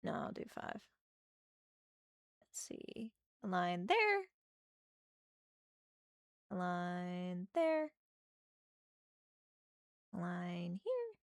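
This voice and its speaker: A woman with a slightly hoarse, gravelly voice chats casually with her stream as she draws. She often trails off into quiet muttering while she works. The sound is close and dry. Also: on the low side, wildly swooping pitch, very slow, long pauses, no room sound. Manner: chatty, thinking aloud, muttering to herself as she works. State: slightly hoarse from a sore throat. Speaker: art streamer. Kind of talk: casual livestream chat while drawing.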